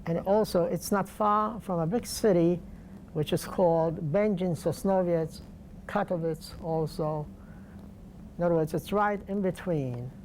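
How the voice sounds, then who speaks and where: An older man from Poland, speaking slowly and deliberately with pauses in an oral history interview. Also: sits high, a little mellow, slight background noise. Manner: slow, deliberate, halting with pauses.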